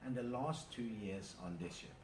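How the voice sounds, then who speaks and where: A man's voice: mid-range voice, expressive. Norwegian man in his fifties, far and echoey. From Norway. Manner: measured, factual, with many "uh" pauses. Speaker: cruise ship captain. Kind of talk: interview answers. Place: aboard a large cruise ship. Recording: roomy, distant, noisy ambience.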